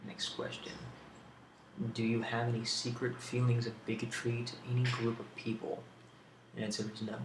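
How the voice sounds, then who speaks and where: A man talks through questions in a casual, rambling ASMR style. His voice stays fairly flat and is thick with vocal fry. The recording has a roomy echo and clear background noise. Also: mid-range voice, normal speed. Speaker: video creator answering tag questions.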